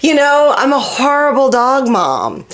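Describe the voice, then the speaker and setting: Lady podcast host, super lively pitch, jokey casual chat, swears and does little quoted bits. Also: a little slow. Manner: jokey, candid, swears freely, acts out imagined dialogue.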